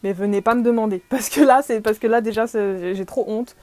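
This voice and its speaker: A woman's voice: on the high side, a little fast, a little mellow, no echo. A young woman from France chatting casually in a podcast-style vlog, self-deprecating, with many fillers and small laughs. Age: young adult. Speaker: vlogger.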